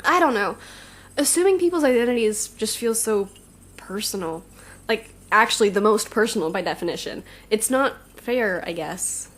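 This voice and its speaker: A woman's voice: on the high side, animated, normal speed, close to an everyday voice. A young woman playing a playful, conscience-stricken young supervillain in an audio drama, talking in a casual, teasing way. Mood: uneasy, doubtful. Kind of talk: naturalistic audio drama acting.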